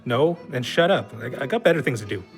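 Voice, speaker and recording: Man acting a bossy, gravelly drinking buddy. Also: mid-range voice, animated, brisk, faint music behind, close to an everyday voice. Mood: scornful, needling. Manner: slangy, sarcastic, needling. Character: bossy, goading, foul-mouthed man, scornful drinking buddy. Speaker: audio play actor. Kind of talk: gritty contemporary audio drama dialogue.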